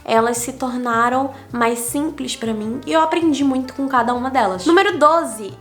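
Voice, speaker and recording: A woman's voice: sits high, fairly bright tone. A young Brazilian woman with a Brazilian Portuguese accent, talking candidly in a casual vlog and counting off numbered lessons. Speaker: digital nomad vlogger. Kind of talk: casual reflective vlog monologue.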